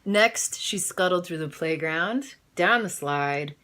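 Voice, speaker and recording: Unhurried woman reading a children's storybook. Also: lowish voice, slight background noise. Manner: animated, dramatic read-aloud delivery. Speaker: storybook reader. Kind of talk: expressive children's storybook narration. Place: in bed, indoors.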